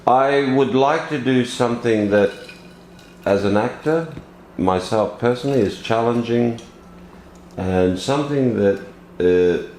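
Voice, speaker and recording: A man's voice: mid-range voice, a little slow, long pauses, a little mellow. A man in his fifties from Wales, an actor chatting casually in an interview in a rambling, jokey way. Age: 50s. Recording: echoey room with faint noise.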